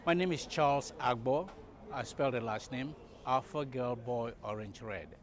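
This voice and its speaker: Man from Cameroon, interviewee, halting speech with many fillers, medium pitch. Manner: hesitant, many fillers and restarts. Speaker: congress participant, medical company CEO. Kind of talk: interview answers. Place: conference event.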